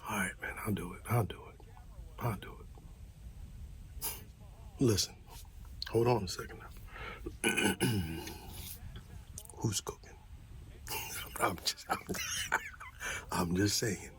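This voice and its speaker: A man doing a casual ASMR roleplay as an easygoing, supportive friend, talking softly in a laid-back buddy way. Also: on the low side, slow pace, long pauses. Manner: soft-spoken, half-whispered, laid-back buddy talk.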